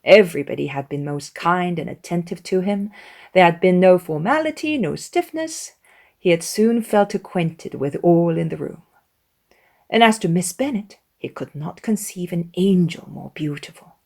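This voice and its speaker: A woman's voice: lowish voice, expressive, normal speed, vocal fry, darkish tone. A woman reading a classic novel aloud as an audiobook narrator, in a scripted literary storytelling style.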